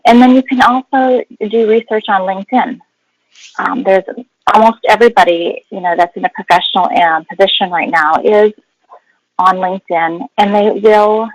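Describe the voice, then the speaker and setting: A woman with a mid-pitched voice gives an instructive, explanatory presentation as a guest expert. She leans on key words, and the sound is dry with no room echo.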